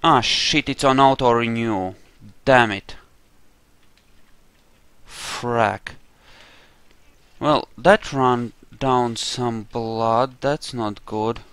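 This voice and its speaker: A man's voice: medium pitch, drawn-out words, long pauses, fairly bright tone, clean tone, no echo. Casual man commentating a game, dry recording. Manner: sweary, conversational. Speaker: gamer, playthrough host.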